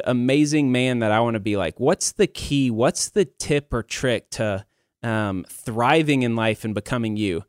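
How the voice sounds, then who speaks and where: A man's voice: medium pitch, dry recording. Man, thirties, podcast host, casual chat, rambling and self-deprecating, close dry sound. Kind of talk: casual conversational podcast talk.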